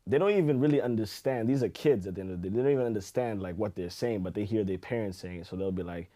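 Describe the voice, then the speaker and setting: Deep-voiced man, laid back. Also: fast. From Zimbabwe. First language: Shona. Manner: relaxed storytelling, full of "you know" and "like". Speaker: podcast guest. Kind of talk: casual chat.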